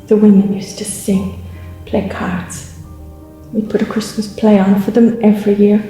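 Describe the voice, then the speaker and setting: Casting: woman playing wistful, matter-of-fact ex-home worker, mellow and even, testimony drama, echoey with faint music. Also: medium pitch, natural voice. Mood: resigned, firm. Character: matter-of-fact former mother and baby home worker, reminiscing. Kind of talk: dramatised first-person testimony.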